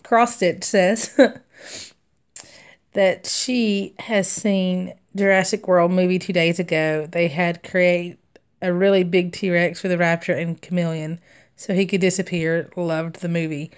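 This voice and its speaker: A woman's voice: lowish voice, flat delivery, slow pace, clear, resonant tone. A woman in her thirties with a Southern US accent, chatting casually and laughing often as she hosts a live stitching stream and reads out viewer comments. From Southern United States. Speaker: cross-stitch livestream host.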